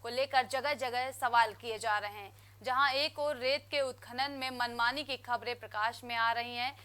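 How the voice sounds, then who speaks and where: Woman newsreader, high bright voice, crisp formal delivery. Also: fairly even intonation, normal speed. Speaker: news anchor. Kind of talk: scripted news reading.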